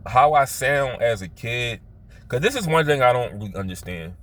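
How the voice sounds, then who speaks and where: A man with a Philadelphia accent telling personal stories casually, halting and often circling back to repeat himself. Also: mid-range voice, lively intonation, a bit bright. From Philadelphia, United States. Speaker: vlogger telling his life story. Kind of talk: casual rambling personal storytelling.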